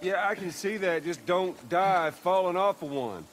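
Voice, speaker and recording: A man's voice: high-pitched, lively intonation, a little slow. An actor playing a protective, wry, middle-aged fatherly man in a naturalistic video game performance, terse and understated. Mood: alarmed. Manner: gruff-humored, terse, understated.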